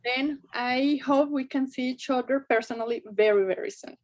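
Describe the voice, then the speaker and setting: A woman's voice: high voice, fairly even intonation, moderate pace, a little mellow, poor audio. A woman in her thirties with a Colombian Spanish accent touched by German, a native Spanish speaker chatting cheerfully as a guest on a video call, sometimes searching for words. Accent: Colombian Spanish, some German. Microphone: video call webcam.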